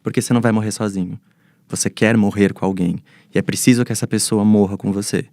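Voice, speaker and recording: Fast-talking Brazilian man explaining ideas. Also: on the low side, steady tone, mellow, no room sound, studio quality. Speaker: psychoanalysis expert, panel guest. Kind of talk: explanatory panel interview answers.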